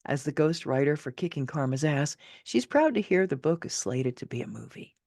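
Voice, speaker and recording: Expressive low-voiced woman reading her own essay. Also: normal speed, no room sound, clean studio sound. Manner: emphatic, theatrical, motivational delivery. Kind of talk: expressive scripted narration of a personal essay.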